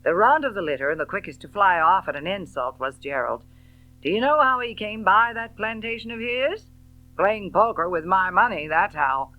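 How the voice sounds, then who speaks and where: A woman's voice: medium pitch, animated, moderate pace, bright, forceful tone, voice pushed up, poor audio. A woman voicing a tall, querulous old uncle in an audiobook novel, with thin, complaining tones. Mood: grumbling reminiscence.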